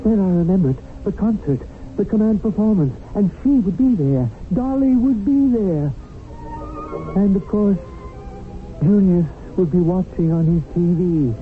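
Worried guardian spirit, highish voice, rueful, tinny old radio drama with music. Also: male voice, animated, unhurried. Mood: rueful, confiding. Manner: rueful, confiding. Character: earnest, worried male guardian spirit telling his story. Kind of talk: 1970s radio drama narration and acting. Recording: thin 1970s radio recording with music.

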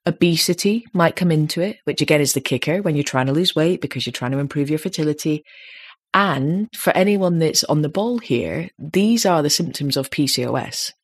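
A woman in her late thirties with a slightly deep voice, chatting casually as a podcast host and growing animated when she makes a point. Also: brisk, slightly rough. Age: 30s. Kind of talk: casual chat.

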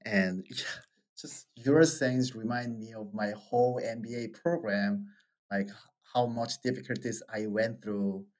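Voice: mid-range voice, steady tone, measured pace, darkish tone. A person with a Korean accent, a native Korean speaker, interviewing a guest in long, roundabout sentences. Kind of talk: casual interview conversation.

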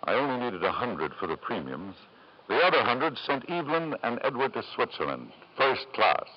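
A man's voice: medium pitch, big pitch swings, normal speed, husky. An actor playing an ambitious, scheming father in 1950s radio drama, persuasive and theatrical, on an old broadcast recording. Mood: coolly calculating. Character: ambitious, scheming father, smooth-talking and desperately gambling. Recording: dull lo-fi vintage radio broadcast.

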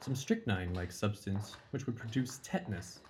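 A man playing an earnest, observant Victorian doctor in an audio drama, answering in a measured, clinical manner. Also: on the low side, sing-song, a little mellow, a little hiss or hum. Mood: attentive, clinical.

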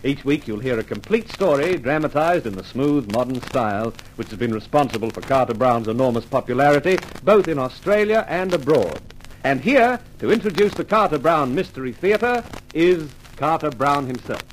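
A man's voice: medium pitch, animated, moderate pace, a little hiss or hum, poor audio. A man hosting a 1950s radio mystery programme, introducing and interviewing in a polished, formal showman's manner. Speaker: radio announcer and host.

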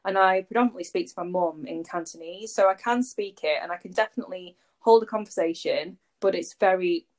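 A woman's voice: on the low side, a little fast, hardly any pauses, a little mellow. A woman, chatting as a podcast guest in a rambling, conversational way full of fillers. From Warrington, England. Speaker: podcast guest, agency founder. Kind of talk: casual conversational interview.

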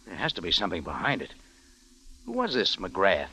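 A man's voice: deep voice, huge pitch swings, fairly quick, sharp tone, slightly rough, exaggerated voice, poor audio. An actor playing a hard-boiled, dogged adventurer who narrates his own story in a 1940s radio drama. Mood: probing. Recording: old radio broadcast with music behind.

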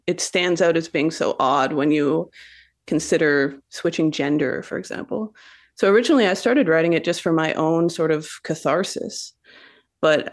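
A woman's voice: low voice, gravelly. A woman, a writer speaking thoughtfully as a guest. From Canada. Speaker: poet and novelist guest. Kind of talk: thoughtful interview talk and poetry reading.

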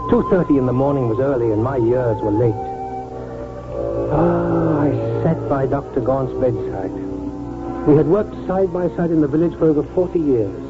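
A man's voice: mid-range voice, moderate pace, narrow, tinny audio, lightly acted. An actor playing an elderly, weary village doctor, musing and repeating himself as he narrates a 1940s radio drama. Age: older. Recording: 1940s radio broadcast, thin band, loud music.

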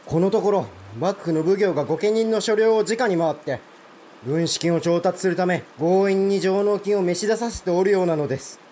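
High-voiced, forthright samurai lord, acted by a man. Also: moderate pace, warm tone, slight background noise, close to an everyday voice. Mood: indignant. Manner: formal archaic warrior speech, forthright. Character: blunt, proud provincial samurai lord, openly indignant. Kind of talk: Japanese historical audio drama.

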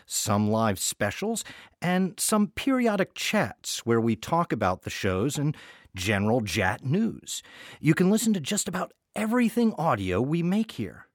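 A man's voice: a little high, dry recording, clean studio sound. A man hosting a podcast in a chatty scripted welcome, with wry, playful asides. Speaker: artistic director and podcast host.

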